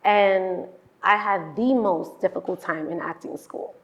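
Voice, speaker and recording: A woman hosting a casual interview, relaxed and quick to answer with "yeah" and "mm-hmm". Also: on the low side, poor audio. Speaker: podcast host.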